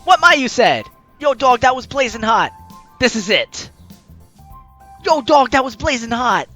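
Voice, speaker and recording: A playful, slangy gaming host reading a visual novel aloud and reacting in an animated way. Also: medium pitch, measured pace. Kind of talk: lively let's play, reading aloud and reacting. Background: game music.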